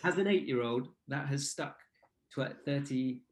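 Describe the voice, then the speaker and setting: A man's voice: medium pitch, a little slow, slight background noise. A man, co-hosting a casual chat and telling a playful, rambling story as the show's language guru. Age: 30s. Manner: anecdotal, with restarts and playful asides.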